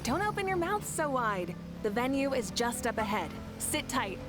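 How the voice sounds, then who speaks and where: A woman's voice: high-pitched, sing-song, moderate pace. An actress playing a confident, playful warrior leader in a video game, assured and daring in her delivery. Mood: teasing, friendly. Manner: assured, teasing, declamatory when addressing crowds. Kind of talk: English video game voice acting. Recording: loud game music underneath.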